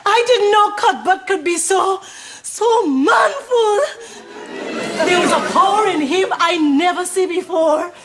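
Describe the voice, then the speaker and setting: A sentimental, swooning wife with a very high voice and a slow, drawn-out way of talking. Studio audience laughter rolls under her lines in a stage sitcom. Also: crowd noise, noisy background. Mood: gushing. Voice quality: gushing, swooning.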